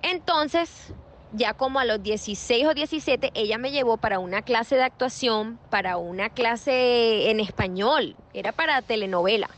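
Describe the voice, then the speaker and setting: A young adult woman from Venezuela, an actress answering interview questions in an animated way, with quick restarts and repeated words. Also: fairly high pitch. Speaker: actress guest.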